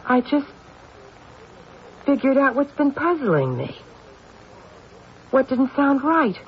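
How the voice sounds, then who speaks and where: Insistent high-voiced radio detective. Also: male voice, very animated, takes it slowly, full character voice. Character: stubborn, intuitive police detective, politely needling suspects. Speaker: actor. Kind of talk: old-time radio mystery acting. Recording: dull, hissy old radio recording.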